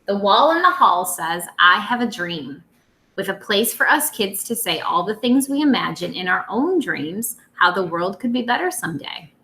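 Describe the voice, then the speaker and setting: A woman reads aloud to children in a roomy, echoey space. Her voice is bright and very animated, rising and dipping with playful drama. She sounds like a schoolteacher performing for her young students. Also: medium pitch, normal speed. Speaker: teacher reading aloud to students. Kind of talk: expressive children's read-aloud.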